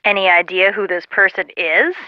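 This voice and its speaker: An actress playing a sassy, excitable young woman, a sarcastic friend in a video game, heard through a telephone filter. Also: mid-range voice, moderate pace, no room sound. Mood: wry, curious. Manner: sarcastic, exclaiming. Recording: muffled lo-fi telephone-style sound.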